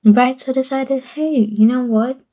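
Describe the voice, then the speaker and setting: A woman's voice: high-pitched, moderate pace, dark tone. A woman streamer chatting casually in a live Q&A, laughing often and repeating herself as she rambles. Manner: giggly, chatty, laughs often between phrases; rambling with frequent repeats and restarts.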